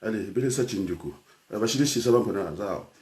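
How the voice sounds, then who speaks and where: A man's voice: lowish voice, fast talker, darkish tone. An older man from Congo, a teacher and trainer, speaking in a rambling personal talk full of hesitations and switches into Lingala.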